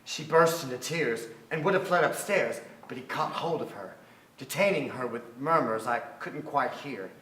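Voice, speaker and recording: Man acting an animated, wistful storyteller, echoey stage. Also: medium pitch, moderate pace. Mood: wistful, intense. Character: wistful man recalling his boyhood memories. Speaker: stage actor in a staged reading. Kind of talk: theatrical literary narration. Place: theatre stage. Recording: echoey theatre hall. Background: violin music.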